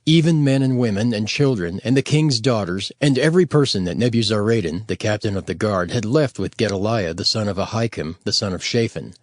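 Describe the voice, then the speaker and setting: A man narrating scripture from a script in a measured, formal and expressive manner. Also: lowish voice, no echo, studio quality.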